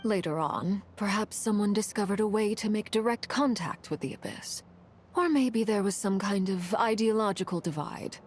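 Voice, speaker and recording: A woman playing a composed, commanding harbinger of cursed fire in a video game, speaking in a measured, solemn, formal way. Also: medium pitch, slight background noise, natural voice. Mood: measured, explanatory. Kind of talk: dramatic video game voice acting.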